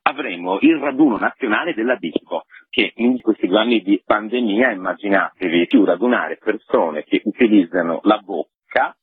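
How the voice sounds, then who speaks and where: A man with a husky voice explaining things conversationally and in detail as a festival organiser in an informal radio interview. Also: fairly low pitch, normal speed, hardly any pauses, no echo. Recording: tinny, narrow phone line.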